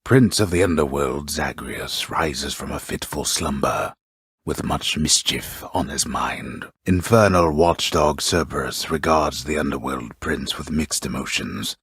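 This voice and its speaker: A man voicing a solemn, wry video game storyteller, with gravelly, breathy husk and theatrical, literary phrasing. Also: rumbling low, measured pace, fairly bright tone, no room sound, heavily acted. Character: solemn old-fashioned omniscient storyteller with wry gravity. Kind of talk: scripted dramatic storytelling narration.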